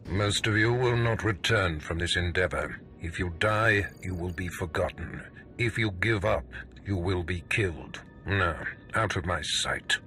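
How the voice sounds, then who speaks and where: Stern game villain, commanding master, deep, measured and slow, contemptuous, imperious. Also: male voice, sharp tone, soft background music. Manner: imperious, deliberate, clipped pronouncements. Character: stern, contemptuous Sith overseer, a cold commanding male master. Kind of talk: video game cutscene acting.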